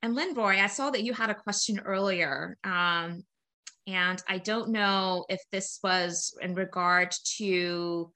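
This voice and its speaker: A woman's voice: medium pitch, takes it slowly. A woman hosting a webinar who politely invites the audience to ask questions. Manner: polite, inviting, steady prompts. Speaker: host and moderator. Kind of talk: moderating a webinar Q&A.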